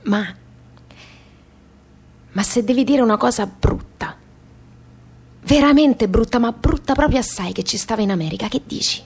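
An actress playing an anxious, defiant young daughter in a radio play, with a southern Italian accent and colloquial, halting, repetitive phrasing. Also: female voice, on the high side, a little mellow, husky. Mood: hesitant. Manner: colloquial, hesitant, repeating phrases emphatically. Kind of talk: stage-like radio theatre dialogue.

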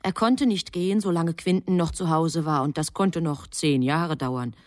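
A woman narrating a literary radio drama from a script in a composed, measured storytelling manner. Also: deep voice, no echo, studio quality.

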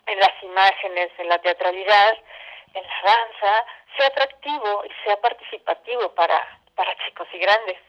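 A Mexican woman talks as a guest in a radio interview, over a dull telephone connection. Her voice sits at an average pitch, and she explains things conversationally, piling up examples and repeating phrases as she builds each point. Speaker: guest, arts educator and theatre company director. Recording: muffled, band-limited phone call.

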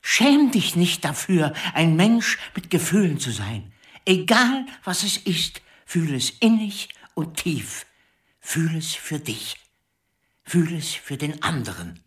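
A man's voice: a little high, sing-song, slow, distant mic. An actor playing a stern, grieving male piano teacher in a literary radio drama, theatrical and emotionally intense. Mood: solemn, urgent.

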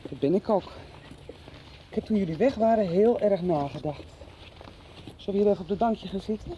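A formal, earnest young woman who organizes everyone's escape , played by an actress. Her voice is mellow and soft-edged. It is a lo-fi 1990s radio soap with faint street noise behind. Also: mid-range voice, a little fast, close to an everyday voice. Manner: earnest, formal, taking charge and making plans. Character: earnest young woman who was hidden as a child, now protective.

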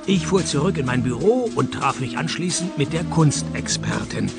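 An actor playing a dry, sardonic private detective in a radio play, deadpan and wry as he narrates and talks. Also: on the high side, moderate pace, creaky, loud music behind the voice. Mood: dry narration.

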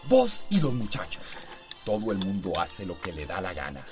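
A man's voice: low-pitched, wildly swooping pitch, moderate pace, loud music behind the voice. An actor playing an angry, bullying father in a radio drama, a man snapping at his family. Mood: furious.

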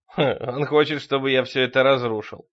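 A man voicing a crazed, menacing sexton, a fanatical zealot who taunts loudly with drawn-out words in a horror audiobook. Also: mid-range voice, steady tone, lo-fi sound, lightly acted. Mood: aggressive, triumphant.

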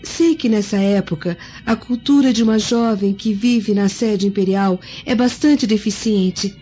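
A woman's voice: medium pitch, measured pace, mellow, natural voice. A woman playing a refined, bookish young Roman noblewoman in a radio drama, speaking formally and earnestly. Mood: proud, earnest. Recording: dull lo-fi audio with music behind.

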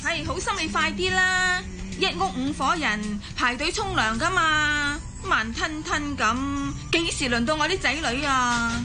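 A woman's voice: high-pitched, lively intonation, measured pace, over music. A woman acting a nagging, scornful Hong Kong housewife in a Cantonese radio drama, scolding and sarcastic. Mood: impatient. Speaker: voice actor in an audio drama.

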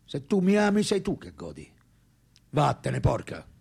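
An actor playing a violent, scornful warlord in a radio drama, with brusque theatrical delivery. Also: male voice, fairly high pitch, wildly swooping pitch, unhurried, gravelly, a little mellow, breathy edge, heavily acted. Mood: scornful, anguished. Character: violent, scornful warlord spurning a devoted lover.

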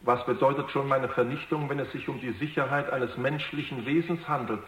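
An echoing, anxious young robot. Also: male voice, medium pitch, a little fast. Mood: earnest, reasoning. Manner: deferential, earnest, slightly hesitant. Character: new male robot, naive, polite, earnest and anxious. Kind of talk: radio drama acting. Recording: echoey, roomy radio drama production.

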